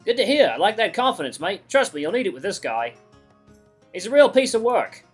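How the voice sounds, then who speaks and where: A man's voice: high-pitched, very animated, a little fast, husky, soft background music, pitched above the performer's own voice, full character voice. A man voicing an easygoing animal-man character in a visual novel, with an attempted Australian accent and a blokey, concerned manner.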